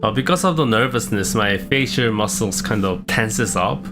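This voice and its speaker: A young man with a non-native English accent and a low-pitched voice, talking about his streaming in a hesitant, self-deprecating way with many restarts. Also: animated, normal speed. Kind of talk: casual reflective vlog. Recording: background guitar music.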